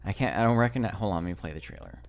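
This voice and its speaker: Low-voiced male podcast host, loose and profane, rambles through a countdown. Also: very animated, rapid-fire, vocal fry. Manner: rambling, profane, fumbles for names. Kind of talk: casual podcast chat, counting down a movie list.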